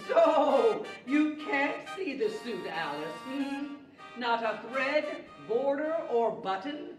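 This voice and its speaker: Woman playing a slow, wry, high-voiced talking horse. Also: sing-song, music in the background, heavily acted. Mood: mocking. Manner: chuckling, knowing, drawn-out delivery. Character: wry, clever talking horse with knowing humour. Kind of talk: 1940s radio theater acting. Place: large library event room, live performance. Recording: echoey hall from far off, faint noise.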